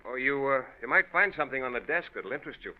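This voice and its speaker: A male actor plays a jealous, bitter ex-husband in a 1940s radio drama. He is biting and sardonic, with very lively pitch. The recording is thin and narrow, like an old broadcast. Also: rapid-fire, lightly acted. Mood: sardonic, cool. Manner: biting, sarcastic.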